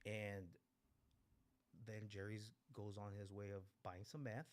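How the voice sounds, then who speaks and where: A man in his forties with a fairly low voice shares a candid personal testimony. He talks bluntly, swears freely and repeats key phrases to drive them home. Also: steady tone, slow. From Mexico, raised in East Los Angeles. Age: 40s. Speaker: guest speaker at a recovery meeting.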